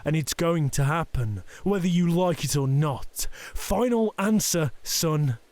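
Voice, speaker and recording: A man's voice: fairly high pitch, animated, a little mellow, no echo. A man playing a cruel, domineering middle-aged Yakuza boss and father in an audio drama, taunting and threatening. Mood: menacing. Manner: taunting, threatening, slow deliberate delivery. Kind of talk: ASMR roleplay audio drama acting.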